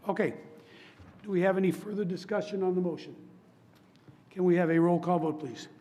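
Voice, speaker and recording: A man chairing a public commission meeting, hoarse from laryngitis, running votes in a steady, polite, procedural way. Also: high voice, fairly quick, slight background noise. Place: council chamber.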